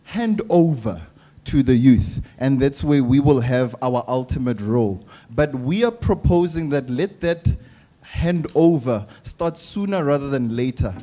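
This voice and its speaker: Earnest young man, mellow voice. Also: medium pitch. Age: young adult. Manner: earnest, pleading, measured. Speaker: youth panelist. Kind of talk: panel discussion.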